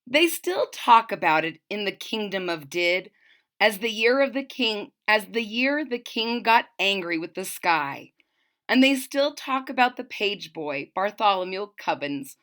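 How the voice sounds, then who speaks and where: A woman's voice: medium pitch, big pitch swings, unhurried, a bit bright. A woman reading a children's picture book aloud expressively, with explanatory asides as a storyteller.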